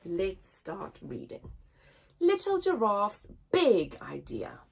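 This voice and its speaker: A woman reading a children's story aloud as a story time host, with expressive, dramatic emphasis. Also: medium pitch, slow, creaky, slightly rough.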